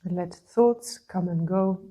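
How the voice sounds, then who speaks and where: Slow, husky woman guiding meditation. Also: fairly low pitch. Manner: soothing, gentle, with long pauses. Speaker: meditation guide. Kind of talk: guided meditation, calm instruction.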